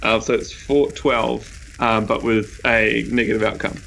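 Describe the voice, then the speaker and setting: A man with a New Zealand accent plays a tabletop game, narrating his moves at a slow pace. His voice is bright and forceful, with faint noise behind it. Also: fairly low pitch, flat delivery, creaky, rough recording. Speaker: player. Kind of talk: casual narration of his character's actions.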